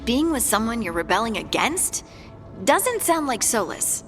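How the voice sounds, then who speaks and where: A woman's voice: a little high, sing-song, unhurried, faint music behind. A woman playing a candid companion in a fantasy game, conversational and matter-of-fact. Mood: casual. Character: candid, informed female companion in a fantasy party. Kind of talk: naturalistic game dialogue.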